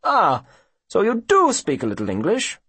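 Man voicing a high-pitched, oily villainous gentleman. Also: huge pitch swings, moderate pace, breathy edge, dry recording, heavily acted. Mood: smug mocking. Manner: mocking, unctuous, pompous. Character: sneering villainous profiteer, smug, mock-genteel older gentleman.

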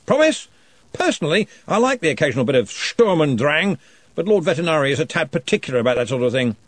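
A man voicing a big, jovial old wizard chancellor who talks loudly and heartily, with a faintly threatening cheer. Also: on the high side, very animated, fast talker, no echo, pitched above the performer's own voice.

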